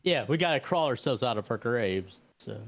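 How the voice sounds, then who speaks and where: A man playing a matter-of-fact vampire in a tabletop game, casually explaining things to a newcomer in halting phrases. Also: mid-range voice, lively intonation, natural voice.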